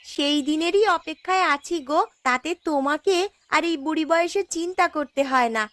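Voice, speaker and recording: A woman's voice: sits high, moderate pace, a bit bright, dry recording, pitched above the performer's own voice. A woman voicing a poor, sweet young granddaughter in a fairy tale cartoon, with a girlish, plaintive manner. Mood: worried, caring.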